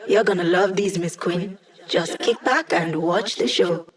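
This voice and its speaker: An actress voicing a sardonic young woman hacker who runs tech support for a squad, in video game voice lines with dry, deadpan humor. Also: fairly low pitch, measured pace, natural voice. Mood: wry.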